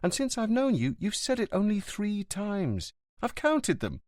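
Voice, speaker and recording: A man voicing an anxious, affectionate young woman in an audiobook, raising his voice to a soft, pleading tone. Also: medium pitch, very animated, unhurried, no room sound, clean studio sound, pitched above the performer's own voice. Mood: worried.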